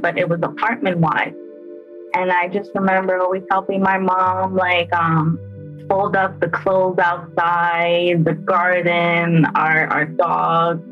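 A woman in her twenties, from El Salvador and raised in the United States, giving a personal account with halting, emotional speech and repeated words. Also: fairly low pitch, highs cut off. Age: 20s. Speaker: interviewee. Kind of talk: personal testimony.

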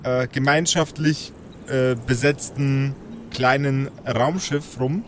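A man's voice: mid-range voice, fairly even intonation, takes it slowly, a little hiss or hum. A man hosting a tabletop role-play casually as game master.

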